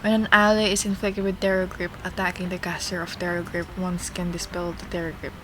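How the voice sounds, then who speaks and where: A woman's voice: fairly low pitch, flat delivery, fairly quick, sharp tone, slight background noise. A woman livestreamer giving casual gameplay commentary and reading game text aloud.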